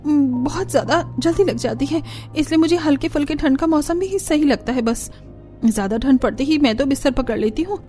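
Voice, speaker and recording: A woman voicing a bubbly, fussy young college woman in a cartoon story, expressive and playfully whiny. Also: sits high, brisk, soft background music, lightly acted. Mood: complaining of cold.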